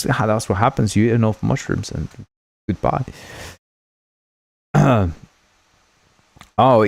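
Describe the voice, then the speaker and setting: A man's voice: mid-range voice, vocal fry, dry recording. A man whose first language is Spanish, hosting a game development livestream in a rambling, jokey way and thanking viewers often. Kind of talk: casual livestream chat.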